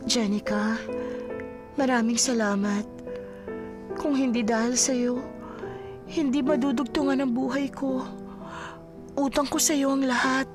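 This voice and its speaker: An actress with a Filipino accent playing a frail, grateful, ailing wife in a radio drama. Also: female voice, sits high, steady tone, measured pace, long pauses, over music. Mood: grateful, resigned.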